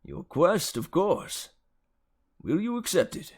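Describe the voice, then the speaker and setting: A man reading a children's fantasy book aloud, voicing a weary old centaur mentor in a measured, solemn, stern manner. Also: a little high, wildly swooping pitch, a little mellow, husky, pitched above the performer's own voice, heavily acted. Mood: grave. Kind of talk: dramatic children's audiobook reading.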